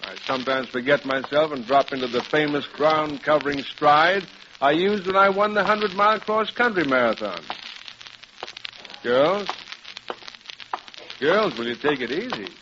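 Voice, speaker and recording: An actor playing a blustering, boastful middle-aged professor and husband in a vintage radio sitcom, bumbling and defensive. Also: male voice, fairly high pitch, normal speed, long pauses, natural voice. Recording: muffled old radio recording, heavy noise.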